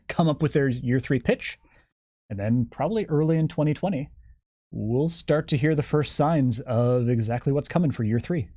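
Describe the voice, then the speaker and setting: A man's voice: on the high side, darkish tone, dry recording, studio quality. A man speaking as a guest on a live stream, explaining things in a detailed, methodical way. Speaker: guest game developer giving campaign updates.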